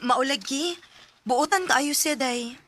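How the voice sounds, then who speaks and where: A woman's voice: high voice, takes it slowly, pushed and bright, no echo, full character voice. An actress with a Cebuano Filipino accent playing a polite, slightly nervous young working woman in a radio drama. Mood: chatty, reassuring. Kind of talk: Bisaya radio drama acting.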